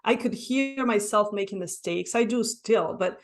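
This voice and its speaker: Mexican woman, spanish accent, earnest podcast guest, mid pitch, keeps saying right?. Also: unhurried. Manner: earnest, reflective, frequent "right?" tags. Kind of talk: conversational interview.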